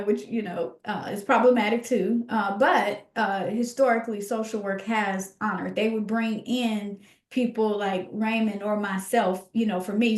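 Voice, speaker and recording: A woman co-hosting a scholarly podcast, talking reflectively and emphatically in a conversational way, often halting and repeating words for emphasis. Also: mid-range voice, a little mellow, roomy sound.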